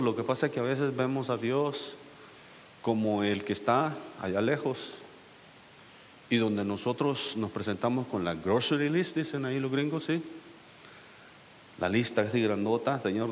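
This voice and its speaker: A man's voice: medium pitch, normal speed. A male pastor, preaching a sermon to his congregation, asking them questions and rising to emphatic peaks. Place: church sanctuary. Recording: echoey church hall with faint hiss.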